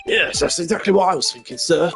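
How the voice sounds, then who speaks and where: An eager, bumbling detective from a video game, acted out by a man, with a highish male voice and a gravelly edge. He is obliging and folksy, always deferring to his superior. Faint music plays behind him. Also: expressive, fast, fairly bright tone. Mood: admiring. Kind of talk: video game character voice-over.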